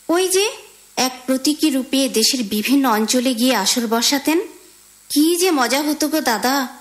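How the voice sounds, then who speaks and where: A woman with a Bangladeshi accent co-hosting a radio show and presenting listeners' letters. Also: sits high.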